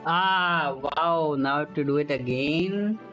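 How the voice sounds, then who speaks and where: A streamer reacts casually to gameplay at an unhurried pace. The voice sits at a medium pitch, with game music playing faintly behind it. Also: sharp tone, dull audio. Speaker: game livestreamer.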